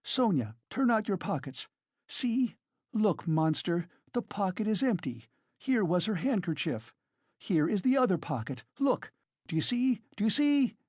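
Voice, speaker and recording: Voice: mid-range voice, big pitch swings, a little slow, a little mellow, breathy edge, no room sound, clean studio sound, pitched above the performer's own voice. A narrator voicing a frantic, furious, proud woman in a dramatised audiobook, shrieking and exclaiming. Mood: defiant. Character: hysterical, furious, proud wasted woman defending a girl.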